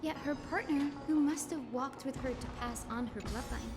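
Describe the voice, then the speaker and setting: A woman's voice: sits high, normal speed, natural voice. A woman playing an earnest, polite young girl in scripted video game dialogue. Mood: curious, polite. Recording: roomy game audio under loud music.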